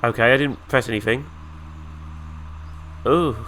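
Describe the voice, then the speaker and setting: A man's voice: medium pitch, a little slow, a bit bright, a little hiss or hum. Chatty man, nasal with the flu. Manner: chatty, dry sarcastic asides. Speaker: gaming YouTuber. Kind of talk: casual let's play commentary.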